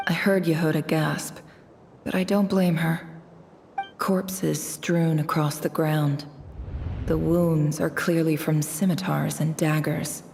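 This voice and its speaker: Game cutscene: measured woman investigator, slow low delivery, roomy reverb. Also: level tone, gravelly, darkish tone, slight background noise, exaggerated voice. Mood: somber. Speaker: investigating narrator.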